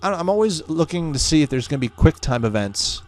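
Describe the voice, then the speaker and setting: A man's voice: on the high side, sing-song, fast talker. A man hosting a gaming video with casual, reactive let's play commentary.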